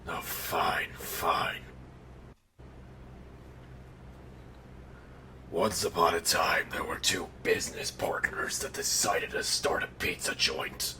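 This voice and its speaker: A man voicing an irritable, sardonic undead killer in an animatronic suit, telling a bedtime story in a deadpan, exasperated growl. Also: sits low, unhurried. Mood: grudging, hushed. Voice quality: gravelly, breathy growl. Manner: deadpan dark humor, exasperated. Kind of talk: in-character bedtime storytelling roleplay. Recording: noticeable background noise.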